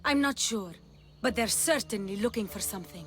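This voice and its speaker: A woman's voice: medium pitch, expressive, a little fast, a bit bright, close to an everyday voice. An actress playing an earnest, protective young refugee woman in a video game cutscene. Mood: concerned. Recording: faint music behind the voice.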